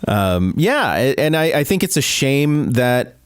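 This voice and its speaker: A man co-hosting a podcast and chatting about movies, his pitch swinging in a lively way. He is enthusiastic and stumbles over his words with plenty of "you know" and "like". The sound is dry and close, with no room around it. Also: no echo. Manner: animated, enthusiastic, many fillers and restarts. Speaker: podcast co-host. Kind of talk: casual chat about films.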